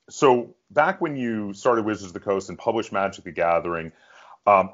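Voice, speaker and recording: A man's voice: low voice, highs cut off. A man hosting a casual interview, asking wordy, roundabout questions. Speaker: interviewer, show host.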